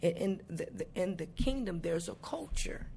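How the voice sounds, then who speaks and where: A woman's voice: on the low side, animated, normal speed, vocal fry, background noise. A woman guest on a talk show, answering in a sermon-like way, repeating words and quoting scripture. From Arizona, US. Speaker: guest prophetess and author. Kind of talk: preaching-style interview answers.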